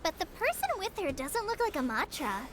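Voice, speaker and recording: A woman's voice: very high voice, very animated, fairly quick, fairly bright tone, a little hiss or hum, exaggerated voice. A woman playing an anxious, earnest teenage girl trainee in a video game, speaking in a nervous, hesitant way. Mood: hushed, earnest. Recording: game music behind the voice.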